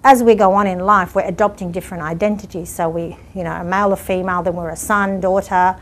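A woman's voice: on the low side, fairly even intonation, fast. A woman in her forties from Lebanon, a community worker explaining earnestly in an indoor interview. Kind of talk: reflective sit-down interview. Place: indoor room with curtains.

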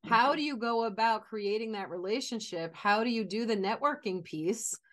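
A woman's voice: a little high, normal speed, a bit bright. An older woman hosting an interview, chatting in an encouraging way over a video call from her home office. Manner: encouraging, conversational. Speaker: host. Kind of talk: interview chat.

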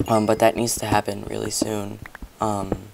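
A hoarse young man talks his way through a video game, rambling and chatting to viewers as he plays. His voice has a gravelly, croaky edge. The recording carries a clear hiss of noise underneath. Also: fairly low pitch, flat delivery, normal speed. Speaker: gameplay commentator. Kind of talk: casual gameplay commentary.

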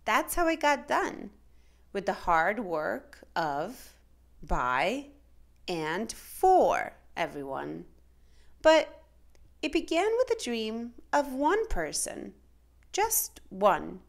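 A woman reading a children's picture book aloud in a dramatic, measured, expressive way. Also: mid-range voice. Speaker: children's storytime reader.

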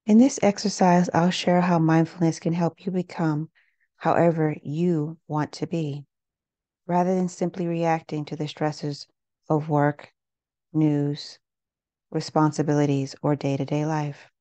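A woman leading a guided meditation in a gentle, unhurried, measured way, leaving pauses between phrases. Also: low voice, flat delivery, dry recording. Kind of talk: guided meditation, scripted narration.

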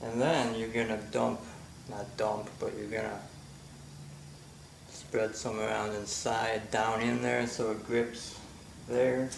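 Slow, gravelly man in echoey garage. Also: on the low side, little pitch movement. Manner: slow, halting, filler-heavy explaining while working. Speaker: motorcycle vlogger. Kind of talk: casual how-to narration. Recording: echoey garage with faint ambient noise.

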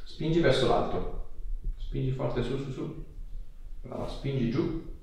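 A man's voice: mid-range voice, expressive, slow pace, vocal fry, darkish tone. A man, a chiropractor giving short, repeated instructions and asking brief questions of a patient. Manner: short, repeated directive phrases. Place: small clinic treatment room, indoors. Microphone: camera microphone a few steps away. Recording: echoey room, distant mic, background noise.

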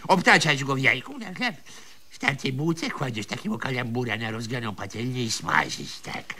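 A man's voice: mid-range voice, big pitch swings, normal speed, sharp tone, slight background noise. An actor playing an eccentric, eager old grandfather who rambles, mumbles and repeats himself in a radio comedy. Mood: rambling, playful. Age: older. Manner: rambling, repeats himself, keeps adding tag words.

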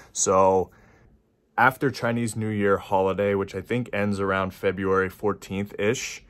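A man's voice: low-pitched, a bit bright. A young man in his twenties filming himself with a phone at arm's length in an empty warehouse, thinking aloud with fillers and restarts. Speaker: small business owner vlogging. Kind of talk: casual vlog narration. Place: empty warehouse and office, indoors. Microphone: phone camera held at arm's length.

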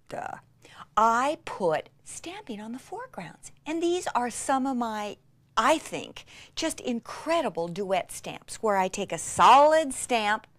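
A woman guides the listener through a craft technique as an unhurried demonstrator. Her pitch swings widely as she gushes, breaking into breathy, excited exclamations. The sound is close and dry, with very little room in it. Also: no echo. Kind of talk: hands-on craft tutorial with excited asides.

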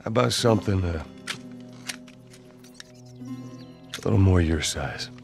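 An actor playing a gruff, guarded middle-aged survivor in a video game, with a Southern US accent and a terse, commanding manner. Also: male voice, low voice, expressive, slow pace, darkish tone, soft background music, exaggerated voice. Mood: steady, instructive. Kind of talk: video game cutscene acting.

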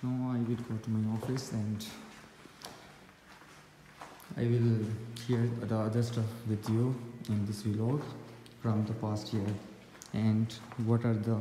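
A man in his twenties with a Pakistani accent, talking haltingly and casually as a student vlogger. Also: on the low side, flat delivery, mellow. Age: 20s. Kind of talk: casual vlog narration and interviewing. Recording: echoey rooms, faint background noise.

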